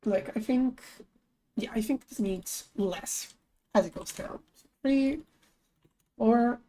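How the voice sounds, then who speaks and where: A young woman streamer chatting casually as she works, thinking aloud, her voice lower than usual today, with bursts of high excitement. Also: slow, a little hiss or hum, low-quality recording. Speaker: streamer and artist. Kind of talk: casual live-stream chat while working.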